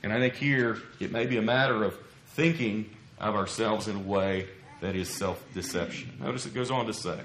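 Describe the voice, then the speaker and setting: A man preaching an expository sermon in a measured, earnest way, his voice a little scratchy from a cough. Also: lowish voice, a bit bright. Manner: measured, earnest, explanatory with rhetorical questions. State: slightly scratchy from a lingering cough. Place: church pulpit, roomy indoor space. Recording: echoey room.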